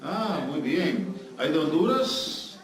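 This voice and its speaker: A man's voice: on the high side, very animated, slow pace. A man with a Salvadoran accent speaking as a guest pastor to a congregation, engaging listeners with questions in a joking manner. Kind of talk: sermon to a live congregation, interactive. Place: church hall with congregation. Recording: echoey hall, distant mic, noisy.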